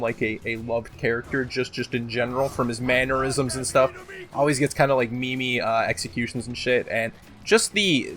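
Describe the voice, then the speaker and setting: Music plays under a man hosting a casual gaming countdown. He speaks at an ordinary male pitch with a sore throat, rambling along unscripted in slangy, sweary language. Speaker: video host, gaming commentator. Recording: loud music behind the voice.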